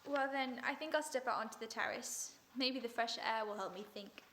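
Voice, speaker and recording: A woman playing a sharp-witted female detective in an audio drama, questioning people with dry, ironic politeness. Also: fairly high pitch, moderate pace, slight background noise, lightly acted. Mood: probing. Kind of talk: vintage-style radio drama acting.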